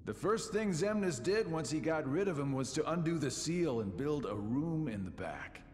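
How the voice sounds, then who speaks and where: A man's voice: fairly high pitch, sing-song, moderate pace, darkish tone, big-room sound, soft background music. A man voicing a sly, mocking middle-aged schemer in a video game, with a teasing, theatrical drawl. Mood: sly, casual. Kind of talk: video game cutscene acting.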